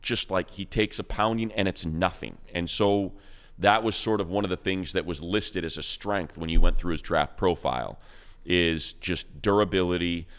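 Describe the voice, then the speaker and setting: A man co-hosting a sports podcast, breaking down a player with quick, enthusiastic stats and slangy praise. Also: low-pitched, a bit bright, clean tone. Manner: fast, enthusiastic stat-heavy breakdown. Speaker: radio play-by-play announcer, co-host.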